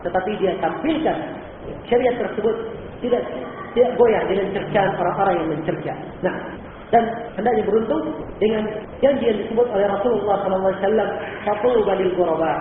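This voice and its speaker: Man lecturing in an echoey hall. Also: high voice. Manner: explanatory, repeats key points, asks rhetorical questions. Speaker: Islamic teacher. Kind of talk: religious lecture. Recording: old echoey hall recording from far off, phone-like and faintly noisy.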